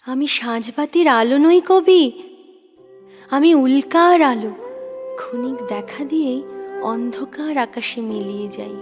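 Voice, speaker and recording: A woman playing an intense, wounded young admirer of a poet in an audio drama, speaking emotionally and poetically. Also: sits high. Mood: pleading, sad. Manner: emotional, poetic, deliberate delivery. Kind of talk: Bengali audio drama acting. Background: background music.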